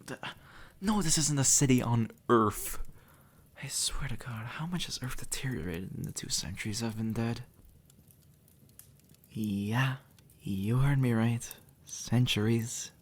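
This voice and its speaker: A man's voice: medium pitch, measured pace, long pauses, slightly rough. A man playing a sardonic, impatient demon in a conversational audio roleplay, blunt and sarcastic, speaking straight to the listener. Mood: exasperated, sarcastic. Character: sardonic, impatient male demon, centuries dead, gruffly reassuring.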